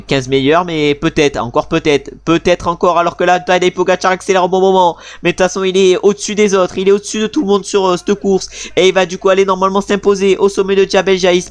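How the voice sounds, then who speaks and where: A French man giving casual, chatty commentary while playing a cycling video game, with frustrated asides about his team leader. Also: high-pitched. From France.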